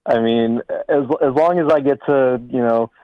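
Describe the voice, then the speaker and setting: A man's voice: mid-range voice, moderate pace, no room sound. Hesitant man calling in on a dull line. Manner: hesitant, many fillers and restarts. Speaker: caller. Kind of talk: phone-in chat. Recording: slightly dull call-in line.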